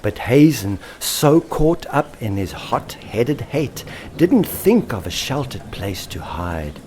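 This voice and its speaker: Guy reading a kids story, really slow and theatrical, pitch swings around a lot, bit noisy. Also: big pitch swings, a little mellow. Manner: theatrical, lingering on alliterative words. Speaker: author and storyteller. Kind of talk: expressive children's story narration.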